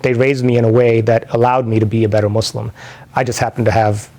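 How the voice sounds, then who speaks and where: A man's voice: medium pitch, little pitch movement, fast talker, darkish tone. A man in his forties from New York City, telling his life story in a thoughtful, rambling way, with many fillers and restarts. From New York City, United States. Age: 40s. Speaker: interviewee sharing his conversion story. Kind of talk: personal testimony, unscripted.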